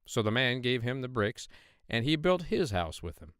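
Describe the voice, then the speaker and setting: Expressive male storybook narrator. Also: mid-range voice, moderate pace, clear, resonant tone, dry recording, clean studio sound. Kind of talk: reading an audiobook fairy tale.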